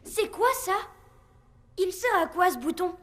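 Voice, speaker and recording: A woman voicing a confident, cheeky young boy in an animated film dub, brash and carefree. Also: very high-pitched, unhurried, full character voice. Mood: excited, curious.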